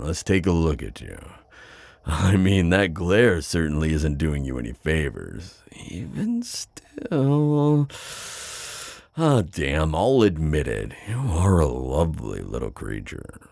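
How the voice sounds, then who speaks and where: A male actor voices a predatory, flirtatious villain for an intimate ASMR roleplay. His voice is extremely low and husky, recorded dry and close. He taunts slowly, with big swings in intonation. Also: a little mellow, no echo, exaggerated voice. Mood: mocking, coaxing, then admiring, flirtatious. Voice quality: very deep, gravelly, breathy. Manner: slow, taunting, smooth-talking with drawn-out pauses. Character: teasing, predatory male buyer at a supernatural auction.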